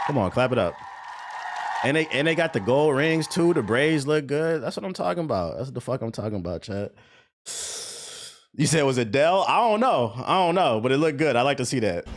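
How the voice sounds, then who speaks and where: An American man doing casual live-stream reaction commentary in a slangy, profane way. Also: mid-range voice. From US East Coast. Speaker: streamer reacting to game trailers.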